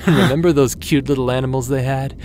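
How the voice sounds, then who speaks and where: A man acting as a taunting, manipulative villain in a dramatic audio roleplay, with a drawn-out, mocking delivery. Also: mid-range voice, fairly even intonation, darkish tone, slight background noise, natural voice. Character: taunting male villain, manipulative, mocking, coldly friendly tempter.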